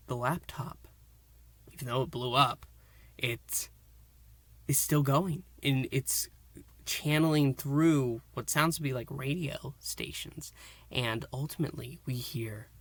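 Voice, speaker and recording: Bright-voiced man reviewing audio drama. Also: medium pitch, no room sound. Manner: earnest, enthusiastic fan talk. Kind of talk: casual episode recap and review to listeners.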